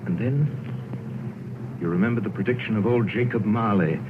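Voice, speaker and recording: A man narrating the story in measured, dramatic style. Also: on the low side, noisy background. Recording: phone-like old radio broadcast with music.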